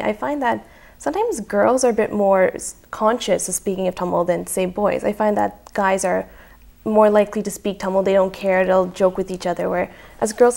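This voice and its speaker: A young woman of ordinary pitch with a gravelly edge to her voice speaks as a panel guest. Her talk is open and casual, and she leans often on "like.". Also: darkish tone. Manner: candid, casual, filler "like". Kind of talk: panel discussion.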